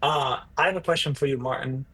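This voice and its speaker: A man's voice: mid-range voice, fairly quick, a bit bright. Polite male journalist. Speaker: entertainment journalist. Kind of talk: press junket question.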